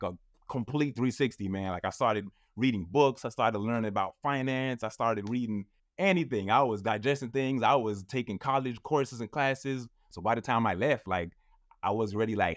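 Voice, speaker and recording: A man's voice: lowish voice, dry recording, studio quality. A man in his thirties, a guest in a casual interview, telling his story in a loose, rambling way full of fillers. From United States. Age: 30s.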